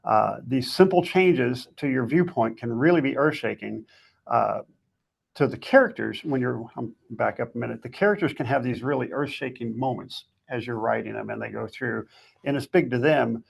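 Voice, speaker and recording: A man with a gravelly, husky voice giving conversational advice as a guest author, in a plain-spoken way with short, emphatic summings-up. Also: medium pitch, animated, normal speed.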